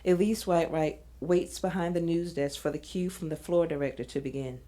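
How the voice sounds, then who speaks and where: A woman's voice: lowish voice, steady tone, a little fast, mellow. A woman reading scene directions as a scripted narration.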